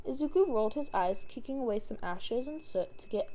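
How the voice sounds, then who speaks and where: A woman narrating a story in an expressive audiobook style. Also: a little high, a little fast. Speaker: fan fiction reader.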